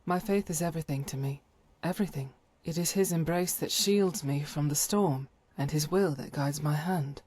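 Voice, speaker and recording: A woman playing a devout, stern holy warrior in a video game, speaking in solemn, formal, measured phrases. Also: sits low, a little mellow, husky, dry recording. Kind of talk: scripted video game character dialogue.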